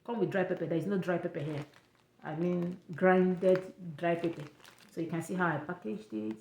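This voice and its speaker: A young Nigerian woman with an Igbo accent chats through her travel tips, repeating herself for emphasis. Her voice sits a little low, and the room around her is echoey. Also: steady tone, moderate pace, darkish tone, slight background noise. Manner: chatty, repeats points, pidgin touches. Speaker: vlogger giving travel advice. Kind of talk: casual advice vlog.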